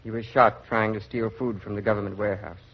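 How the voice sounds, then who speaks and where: Detached official: low monotone, slightly husky, dull vintage radio sound. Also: male voice, fast. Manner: matter-of-fact, detached. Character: impassive male official delivering a death notice. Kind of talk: 1940s radio drama acting. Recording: muffled vintage radio recording.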